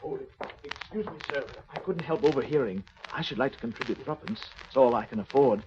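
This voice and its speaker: An actor playing a timid, kindly clerk in 1930s radio drama, deferential and hesitant. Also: male voice, medium pitch, animated, fairly quick, natural voice. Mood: meek, apologetic. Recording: dull, noisy 1930s radio recording.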